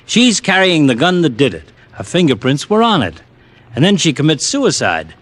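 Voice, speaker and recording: Exasperated radio police lieutenant, American, lively swinging intonation, slightly high voice, skeptical and grumbling. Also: male voice, normal speed, no echo. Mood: exasperated, sarcastic. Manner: blunt, sarcastic, grumbling. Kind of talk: 1940s radio drama acting. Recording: vintage radio drama recording.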